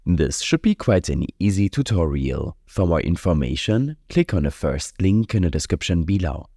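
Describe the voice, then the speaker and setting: A man with a low, dark voice narrates a step-by-step tutorial. His delivery is measured and instructional. The sound is close and very dry, like a direct voice-over. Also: big pitch swings, vocal fry, husky, no room sound. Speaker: tutorial host.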